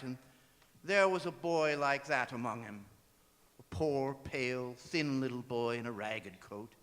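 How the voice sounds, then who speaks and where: An actor playing a fearful, regretful old miser in a theatrical radio drama, stammering and exclaiming, with music under him in a reverberant hall. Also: male voice, mid-range voice, a bit bright, lightly acted. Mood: sad, regretful. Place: performing arts center stage.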